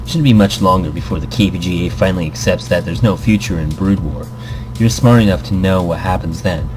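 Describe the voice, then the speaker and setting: Man playing a smug, sneering rival gamer, low voice. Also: brisk, music in the background, natural voice, low-quality recording. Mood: dismissive. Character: smug, scornful male rival pro gamer, visual novel character.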